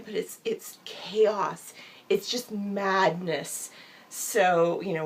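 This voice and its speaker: A woman vlogger with a bad cold, her voice hoarse and gravelly and dropping into whispers, chatting upbeat with many fillers. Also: fairly low pitch. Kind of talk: casual chat to camera.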